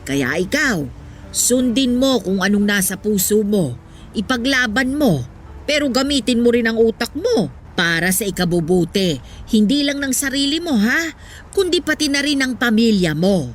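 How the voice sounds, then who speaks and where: A woman's voice: a little high, animated, moderate pace, a little hiss or hum, close to an everyday voice. Woman acting a wistful old Filipino mother. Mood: tender, earnest. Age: older. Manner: reflective, earnest, motherly. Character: old poor mother, wistful, devoted, gently wise wife. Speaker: voice actor in a radio drama. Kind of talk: Filipino radio drama acting. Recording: music behind the voice.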